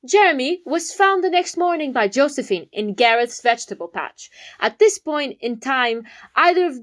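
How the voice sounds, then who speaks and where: A woman playing a confident young detective in an amateur comedy whodunnit, explaining with dramatic pauses. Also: high-pitched, animated, a bit bright. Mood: confident, accusing. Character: confident young detective laying out a murder case.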